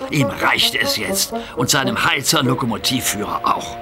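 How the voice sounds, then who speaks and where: A man narrating a scripted children's story in an expressive storytelling manner. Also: mid-range voice, moderate pace, loud music behind the voice.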